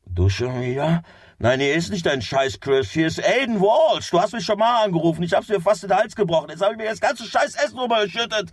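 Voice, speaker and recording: An actor in a German radio drama playing a stubborn, excitable working man who swears and swings from muttering to exclaiming. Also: sits high, brisk, slightly rough, no room sound, clean studio sound. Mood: exasperated.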